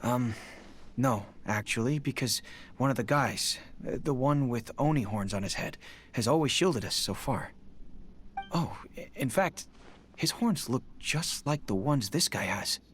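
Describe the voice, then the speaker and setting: An actor voicing a middle-aged village father in a video game, calm and reassuring but a little hesitant. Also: medium pitch, unhurried, a little hiss or hum.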